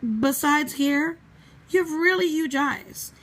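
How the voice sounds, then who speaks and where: A woman playing a polite, inquisitive young girl in a storybook reading, with an expressive, questioning manner. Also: high-pitched, takes it slowly, pitched above the performer's own voice. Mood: curious, suspicious.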